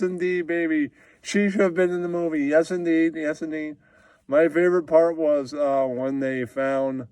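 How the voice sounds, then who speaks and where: A man's voice: on the high side, darkish tone, dry recording. A man voicing a smitten male puppet movie critic who draws out words and repeats catchphrases.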